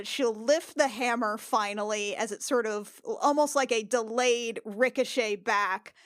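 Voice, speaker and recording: Woman with a highish voice, dry close sound, casually describing game moves, thinks out loud and swears a bit. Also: no echo. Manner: halting, thinking aloud, sweary. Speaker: player in a tabletop RPG podcast. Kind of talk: casual improvised game narration.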